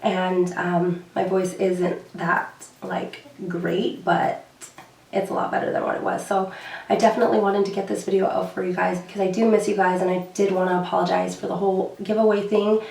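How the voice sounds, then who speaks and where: A young woman beauty vlogger with a hoarse, recovering voice, chatting casually to camera with many fillers and repeated words. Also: on the low side, flat delivery, moderate pace, darkish tone, reverberant, recorded from a distance, poor audio.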